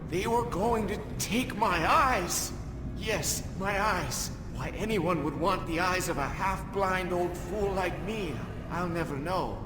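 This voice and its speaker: A man's voice: high-pitched, slow pace, background noise. An actor playing a frail, half-blind old man in a video game, rambling and plaintive. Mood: grateful, shaken. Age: older. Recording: echoing game dungeon, music behind.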